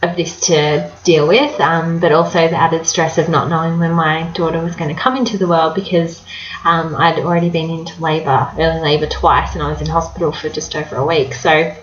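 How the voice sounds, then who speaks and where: Aussie woman in her 20s vlogging, bit nasal from a cold, lowish voice, echoey dull-sounding room. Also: flat delivery, a little fast. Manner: chatty, warm-hearted advice with many fillers. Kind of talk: casual chat to camera.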